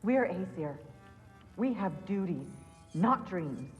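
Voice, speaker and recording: A woman's voice: fairly low pitch, expressive, slow pace, big-room sound, faint music behind. An earnest, worried queen. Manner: earnest and firm. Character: worried queen pleading with her husband.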